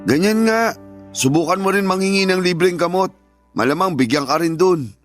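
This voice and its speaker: A man's voice: sits high, moderate pace, close to an everyday voice. A man playing an evasive, irritable working husband in a Filipino radio drama, dismissive and exasperated, with a Filipino accent. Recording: music playing behind the voice.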